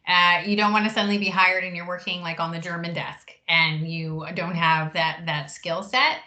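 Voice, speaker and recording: A woman recruiter answering questions in an online workshop, measured and reassuring, pausing often to find her words. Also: on the low side, steady tone, sharp tone. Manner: measured, reassuring, with frequent pauses. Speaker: recruiter leading a career workshop.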